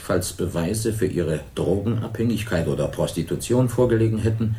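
Radio crime play: deep, formal detective, slow official questioning, faint hiss behind. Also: male voice, darkish tone. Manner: measured, official, probing. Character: calm, formal male police investigator. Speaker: police detective. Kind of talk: 1980s radio crime drama.

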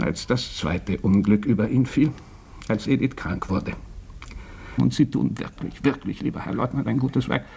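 An actor playing a candid, worldly doctor in a radio drama, speaking in a confiding, insistent way with rhetorical questions. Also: male voice, medium pitch, vocal fry, a little mellow, slight background noise, close to an everyday voice.

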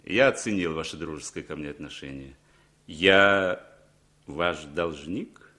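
An actor playing a smooth, sly foreign businessman in radio drama, insinuating and theatrical. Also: a bass voice, unhurried, full character voice. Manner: suave, insinuating, theatrically expressive. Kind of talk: 1960s radio drama acting.

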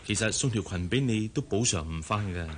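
An actor with a Hong Kong Cantonese accent playing a gentle, coaxing father and boss in a radio drama. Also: on the low side, brisk, slight background noise, close to an everyday voice. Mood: friendly, persuasive. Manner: soft, coaxing. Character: gentle, persuasive male boss and young father.

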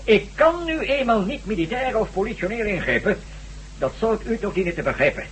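A fussy, stubborn head of state in a radio play. His high voice swoops about as he hedges pompously and repeats himself. There is faint noise on the old recording. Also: animated, a little fast, a bit bright. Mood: defensive, indignant. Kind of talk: Dutch radio drama acting.

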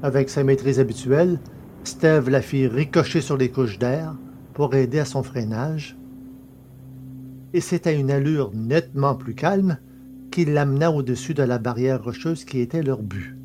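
A man's voice: unhurried, dark tone. Subdued male narrator with faint music. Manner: subdued, low energy. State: mild sore throat. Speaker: narrator and podcast presenter. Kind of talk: scripted audiobook narration.

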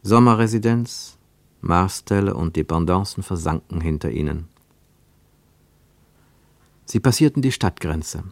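A man narrating a literary radio play as a scripted storyteller, measured and expressive, with deliberate pauses. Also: low-pitched, dry recording.

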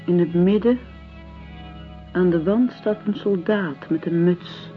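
A woman's voice: fairly low pitch, slow, faint music behind. An actress in a radio drama playing an earnest, idealistic young lady with a questioning manner. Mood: curious, observant. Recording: dull lo-fi radio play recording.

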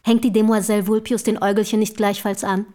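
A woman's voice: mid-range voice, little pitch movement, fast, warm tone, natural voice. An actress in a German radio play playing a forward, inquisitive young woman of the Romantic era, with formal, polite, probing diction.

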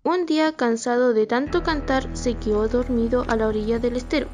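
A young woman narrates a story in a high voice. It is scripted storytelling for a radio play, with light music underneath. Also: steady tone, brisk.

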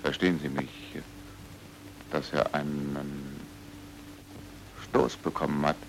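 A man's voice: extremely low pitch, fairly even intonation, takes it slowly, vocal fry, full character voice. An actor playing a dogged, earnest man who politely but insistently questions people in a German radio crime drama. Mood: probing. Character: dogged, earnest foreign man investigating a friend's death. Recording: old radio recording with background noise.

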